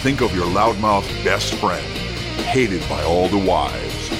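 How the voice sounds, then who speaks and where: A man's voice: low voice, animated, slow pace. A man doing the promo voice-over for a show, delivering punchy slogans. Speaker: show announcer. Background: loud guitar music.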